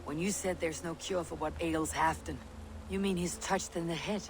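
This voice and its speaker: A woman's voice: fairly low pitch, moderate pace, slight background noise, lightly acted, rough recording. A woman playing a stern Viking warrior. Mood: calm. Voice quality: steady, blunt and menacing. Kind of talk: video game cutscene acting. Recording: game audio over loud ambience.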